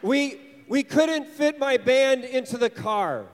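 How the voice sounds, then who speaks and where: A man leading a touring band who speaks to a church audience in short, energetic phrases, pausing for an interpreter. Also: extremely high pitch, some chatter behind, slight background noise. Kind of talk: English speech with live interpreter. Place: church hall. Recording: reverberant hall.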